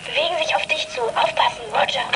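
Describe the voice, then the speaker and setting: Young girl detective, high-pitched, alert, clipped radio calls, no-nonsense, children's radio play, noisy audio. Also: sing-song, fast, exaggerated voice. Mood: urgent, hushed. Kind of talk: 1980s German children's radio play acting.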